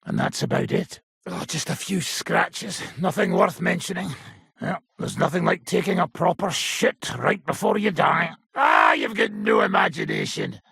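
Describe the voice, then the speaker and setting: An actor playing a crude, hot-tempered medieval soldier in a video game, gruff and mocking, with a husky rasp. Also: male voice, on the high side, wildly swooping pitch, normal speed, bright, forceful tone, no echo, heavily acted. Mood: gruff, dismissive. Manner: coarse, swearing, taunting.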